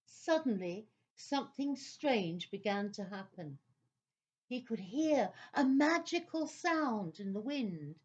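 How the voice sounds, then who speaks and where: A woman narrating a children's story from a script in a theatrical, deliberate manner. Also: fairly high pitch, animated, breathy edge, highs cut off.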